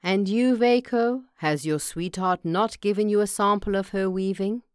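A woman's voice: medium pitch, normal speed, smooth, no echo, studio quality, pitched above the performer's own voice, natural voice. A woman voicing an old male farmer, a storybook father who makes emphatic pronouncements. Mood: questioning. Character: gentle old male farmer, judging and easily amazed father. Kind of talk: storybook character voice.

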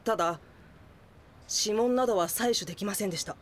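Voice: mid-range voice, brisk, a little hiss or hum, lightly acted. A performer playing a police investigator, formal and matter-of-fact, in an audio drama. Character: formal police investigator reporting forensic findings.

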